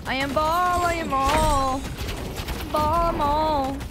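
A woman's voice: sits high, fairly even intonation, takes it slowly, loud music behind the voice. A young adult woman with a Midwestern American accent, chatting playfully while streaming a game, often repeating phrases in a sing-song way. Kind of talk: casual gameplay streaming chat. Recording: loud game noise underneath.

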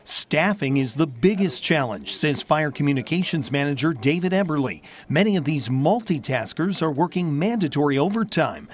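An American man narrates a television news package as a reporter. His pitch is fairly high and moves in a lively, varied way through the script. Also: moderate pace, hardly any pauses.

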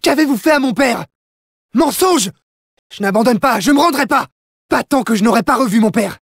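A young, plucky gentleman thief in a French video game dub. His delivery is showy and cocky but loyal at heart, built on punchy catchphrases. The pitch swings in a lively way, and the sound is close and dry. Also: moderate pace, vocal fry, slightly rough, no echo, full character voice. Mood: shocked, defiant.

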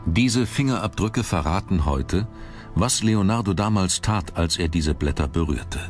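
A man reading scripted documentary narration with measured, dramatic phrasing. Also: sits low, expressive, over music.

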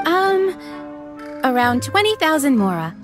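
A woman's voice: high voice, very animated, unhurried, faint music behind. A woman playing a polite, cheerful restaurant waitress in a video game, eager to serve. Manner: polite, sales-like service talk. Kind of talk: video game character dialogue.

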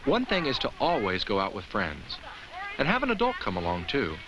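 An actor playing a kindly, earnest talking jack-o'-lantern who narrates a children's safety film with simple, reassuring explanations. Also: male voice, medium pitch, wildly swooping pitch, normal speed, background noise. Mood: friendly.

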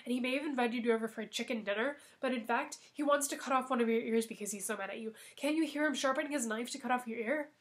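A woman's voice: fast, hardly any pauses, slight background noise, close to an everyday voice. Woman voicing a sly, greedy young cook. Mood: hushed warning. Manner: breezy, scheming, turns shrill and frantic.

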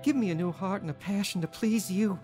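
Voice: medium pitch, brisk, a little mellow, close to an everyday voice. An actor in a radio drama playing an anguished parent who pleads with God in earnest, repentant prayer. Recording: music playing under the voice.

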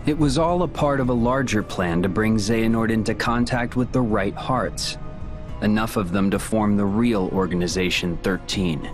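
An actor playing a cold, smug young male villain in a video game, explaining and taunting in measured lines. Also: on the low side, music in the background, close to an everyday voice. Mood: cold, explanatory. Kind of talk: dramatic video game cutscene acting.

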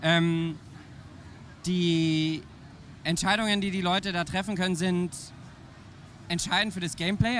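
A man's voice: high voice, a bit bright, slight background noise. A man, an indie game developer, answering interview questions in a playful, jokey way while tired from a long fair. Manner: playful, jokey, thinks aloud. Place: busy indoor trade-fair hall.

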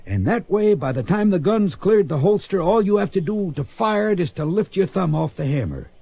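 A husky, folksy mentor. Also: male voice, high-pitched, animated, normal speed, mellow, no room sound, exaggerated voice. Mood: instructive. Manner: folksy, plainspoken. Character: folksy old-West gunfighting mentor, plainspoken and wary. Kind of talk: radio western drama acting.

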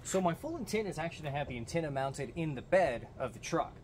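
A man's voice: fairly high pitch, animated, fast talker. A young American man giving instructional how-to narration as host of a DIY car channel. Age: young adult. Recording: clear background noise, clicks and jangling.

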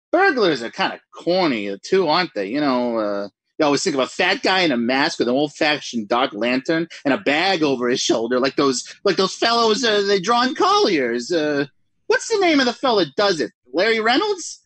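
A man's voice: on the high side, sing-song, normal speed, a bit bright, no echo. A man playing a jumpy writer alone at night, rambling and mocking himself in 1940s radio acting style. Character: jittery lone male writer talking to himself.

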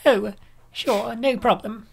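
Woman voicing a gravelly-voiced tempted young model. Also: on the high side, takes it slowly, exaggerated voice.

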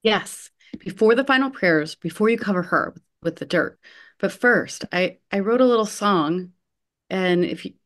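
A woman reading an earnest young female nursing home worker in an online play reading, halting and hesitant, over a video call. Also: mid-range voice, expressive, natural voice. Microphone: video call computer mic.

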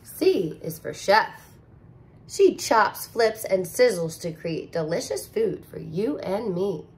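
Lively, slow-reading woman teacher. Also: mid-range voice. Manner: expressive, sing-song storybook reading with soft asides. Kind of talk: picture book read-aloud for young children. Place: living room with couch, indoors.

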